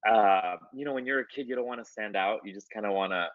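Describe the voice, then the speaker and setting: Low-voiced guy rambling casually thru interview answers, dry muffled sound. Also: quick pace, dry recording. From United States. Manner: loose, conversational, many fillers and restarts. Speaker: artist.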